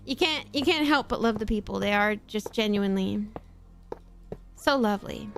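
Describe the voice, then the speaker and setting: A woman's voice: mid-range voice, normal speed, gravelly, soft background music. A woman from Australia with a mostly North American-sounding accent and a slight Australian edge on some words, chatting casually on stream and reading chat aloud. She laughs often and swears casually.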